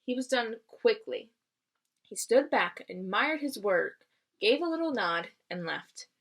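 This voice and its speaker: A woman's voice: a little high, lively intonation, a little slow, a bit bright. Woman reading a storybook aloud, teacherly. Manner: teacherly, explaining the story simply. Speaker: read-aloud reader. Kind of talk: expressive storybook read-aloud with explanatory asides.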